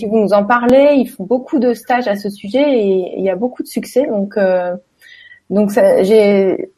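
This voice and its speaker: A woman's voice: on the high side, a little mellow, rough recording. A woman hosting a casual live web interview in a hesitant way. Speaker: host.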